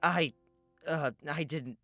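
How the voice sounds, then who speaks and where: Female reader as awkward young male game hero, unhurried, clear-edged bright tone, apologetic and sincere. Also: deep voice, no room sound, soft background music, pitched below the performer's own voice, heavily acted. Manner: hesitant, stammering, apologetic. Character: earnest, awkward young man, Rain, after a chase.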